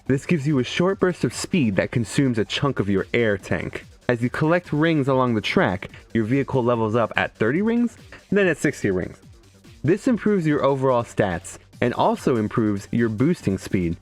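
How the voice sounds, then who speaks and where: A man's voice: medium pitch, big pitch swings, normal speed. Sarcastic male gamer over music. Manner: sarcastic, profane. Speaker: gaming video creator. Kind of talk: casual comedic game commentary. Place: indoors, streaming setup.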